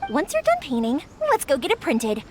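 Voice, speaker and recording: An upbeat young woman in a fantasy game, fairly high and lively, encouraging in a big-sister way. Light music plays behind her. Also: moderate pace. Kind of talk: game voice acting.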